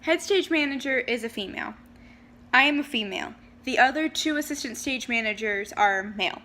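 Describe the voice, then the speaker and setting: A young woman talks straight to her camera in a casual, chatty way, with lots of ums and sudden bursts of speed. Her voice sits a little high and rings bright and clear. It sounds like a home video recording. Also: expressive, fairly quick. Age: young adult. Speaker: vlogger. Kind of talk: casual personal storytelling. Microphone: handheld camera.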